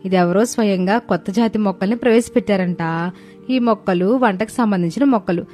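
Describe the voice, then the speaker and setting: A woman's voice: medium pitch, fairly quick, dark tone, soft background music, close to an everyday voice. A woman playing a sweet, polite young daughter-in-law who explains things earnestly in a story cartoon. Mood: explaining. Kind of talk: dramatized moral story cartoon.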